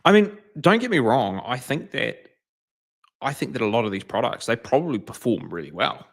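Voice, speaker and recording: A man's voice: mid-range voice, big pitch swings, normal speed. A man with a New Zealand accent, co-hosting a casual livestream chat about car detailing and reading out viewer comments. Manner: chatty, reads out live comments. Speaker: detailing channel co-host.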